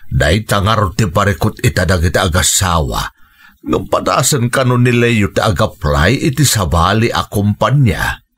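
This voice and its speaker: Worried Ilocano family man for radio drama: husky, very animated pitch, emotional. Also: moderate pace, full character voice. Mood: sympathetic. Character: worried father and husband in a Filipino family.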